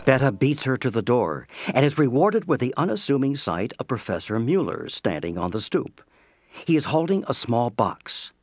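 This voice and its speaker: A man narrating an audio play from a script as an expressive storyteller. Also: mid-range voice, normal speed.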